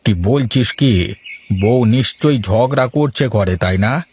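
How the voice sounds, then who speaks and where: A man's voice: mid-range voice, a little slow, a little mellow, breathy edge. A man voicing a gossipy older village tea seller in a cartoon, folksy and inquisitive. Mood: curious, chatty. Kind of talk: cartoon voice acting.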